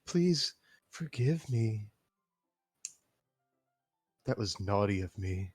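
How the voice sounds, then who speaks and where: Deep-voiced man reading a bashful teen girl, apologetic and halting, dry mic. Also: animated, a little mellow, husky, no room sound, soft background music, heavily acted. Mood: flustered. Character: shy, flustered teenage schoolgirl. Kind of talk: impromptu staged reading.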